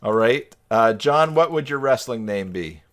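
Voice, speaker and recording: A man's voice: mid-range voice, lively intonation, a little slow. A man hosting a casual video call chat, joking and teasing as he talks. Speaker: live stream host.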